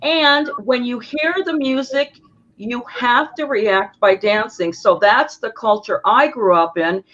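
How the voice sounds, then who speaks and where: A woman tells stories about dancing as a guest, with lively energy. Her voice comes through dull and a little lo-fi, like a remote call. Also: fairly high pitch, unhurried. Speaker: guest, dance instructor. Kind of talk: casual interview talk. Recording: dull lo-fi sound.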